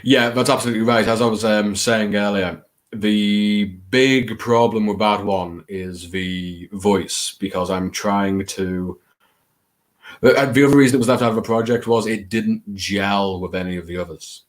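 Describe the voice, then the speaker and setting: A man with a fairly low voice and a Scouse accent chats casually to his viewers. He rambles and hesitates, putting himself down and adding the odd theatrical flourish. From England. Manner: hesitant, halting, self-deprecating, with theatrical flourishes. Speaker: host of a late-night livestream.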